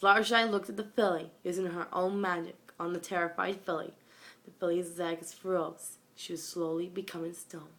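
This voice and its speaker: Young female story reader, bright voice. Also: on the low side, steady tone, normal speed. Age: young adult or younger, school age. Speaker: amateur fan fiction reader. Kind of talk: scripted story narration. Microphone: phone. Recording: homemade phone recording.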